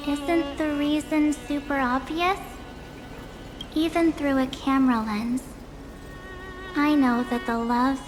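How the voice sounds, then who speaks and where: A bright-spirited, determined young girl speaks. She is informal and emphatic, as a video game heroine over clearly audible music. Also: sits high, rough recording. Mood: impassioned. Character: upbeat, earnest, cheeky young girl, determined and loyal.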